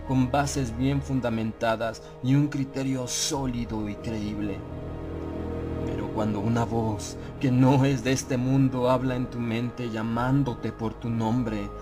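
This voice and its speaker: A man reading a first-person horror audiobook as narrator, with dramatic, measured storytelling and deliberate pauses. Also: medium pitch.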